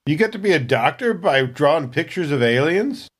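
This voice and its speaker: Man playing a slangy, higher-voiced space Marine. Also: animated, moderate pace, husky. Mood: incredulous, teasing. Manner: slangy, teasing, incredulous. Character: boisterous, slangy hard-drinking male space Marine. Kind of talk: improvised tabletop roleplay.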